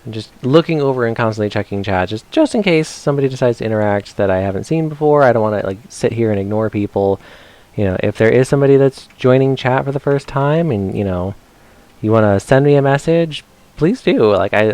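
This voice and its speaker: A man with a fairly low voice streams a video game and talks as he plays. His commentary is casual and rambling, with a playful, chatty way of filling the time for his chat. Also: darkish tone.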